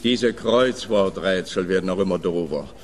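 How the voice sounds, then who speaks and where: An actor playing a pompous, blustering police officer in a radio play. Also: male voice, low-pitched, moderate pace, over music, close to an everyday voice.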